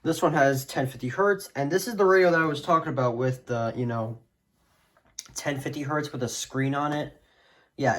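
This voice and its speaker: A man casually presenting a collection in a hesitant, rambling way that often trails off. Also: mid-range voice. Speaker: video creator showing a radio collection. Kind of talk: casual collection showcase chat.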